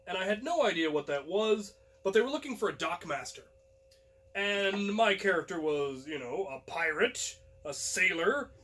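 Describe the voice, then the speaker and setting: A young man hosting a vlog, telling a personal story casually to his viewers in an animated way. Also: high voice. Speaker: host and storyteller.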